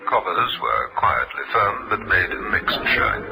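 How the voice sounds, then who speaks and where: An actor playing an impersonal market-news announcer heard over a loudspeaker in a radio drama, reading formally. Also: male voice, deep voice, big pitch swings, moderate pace. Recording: distant announcement under loud crowd chatter.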